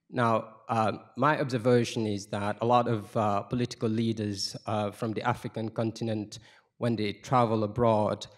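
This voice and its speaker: Low-voiced man from Guinea asking a question. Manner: measured, many fillers while building a long question. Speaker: audience member asking a question, lawyer. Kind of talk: audience question at a lecture. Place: lecture room, seated in the audience. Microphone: handheld microphone.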